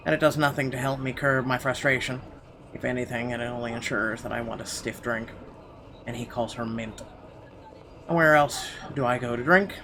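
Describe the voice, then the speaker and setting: A man's voice: mid-range voice, a bit bright, slight background noise. A man streaming a game, reading its narration aloud with the odd stumble and offhand aside. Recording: game music behind the voice.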